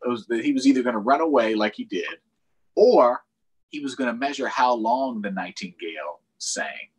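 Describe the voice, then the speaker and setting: A man's voice: medium pitch, expressive, normal speed, breathy edge, poor audio. A middle-aged man with an American accent hosting a children's storytime, reading aloud expressively with chatty explanations. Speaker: storytime host. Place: small room at home, indoors. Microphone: computer or tablet on a video call.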